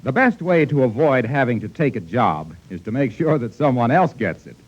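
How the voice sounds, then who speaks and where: A man's voice: mid-range voice, sing-song, normal speed, soft background music. An American man announcing and reading ads on 1940s radio with a polished, emphatic delivery. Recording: muffled 1940s radio recording, faint noise, clicks.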